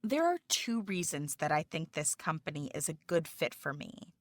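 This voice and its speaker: Woman, scripted applicant answers, slightly low voice, careful delivery, dry recording. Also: sing-song, normal speed, no room sound. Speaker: job applicant in a practice dialogue. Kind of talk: scripted dialogue reading.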